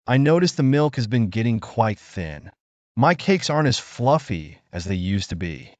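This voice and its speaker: A man voicing a kind, wise village aunt in a storybook, gentle but firm. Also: mid-range voice, big pitch swings, moderate pace, no room sound, lo-fi sound, natural voice. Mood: gentle concern.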